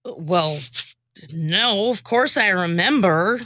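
A woman with a theatrical, animated delivery, moving between comic storytelling and casual podcast chat. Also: mid-range voice, takes it slowly, no echo. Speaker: podcast former co-host and guest performer.

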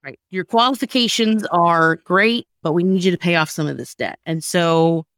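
Dry, close-sounding woman, low-pitched casual host. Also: moderate pace, a bit bright, dry recording. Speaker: podcast host introducing comedy sketches. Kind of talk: casual personal storytelling.

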